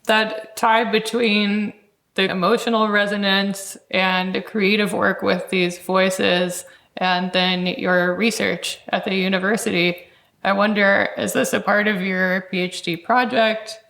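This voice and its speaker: Creaky-voiced woman hosting a podcast. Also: mid-range voice, level tone, a little slow, a bit bright. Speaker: podcast host and interviewer. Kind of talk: scripted introduction and interview questions. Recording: roomy, echoing sound.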